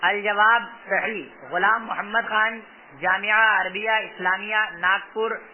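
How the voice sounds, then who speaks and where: A man formally reading out written religious endorsements, with Arabic phrases and names, in measured, separated phrases. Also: high-pitched, level tone. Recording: tinny phone-like sound with faint noise.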